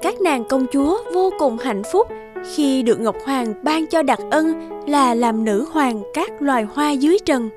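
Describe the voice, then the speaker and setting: High-voiced woman telling a fairy tale. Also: animated, unhurried. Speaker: narrator. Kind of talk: scripted fairy-tale storytelling. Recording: music behind the voice.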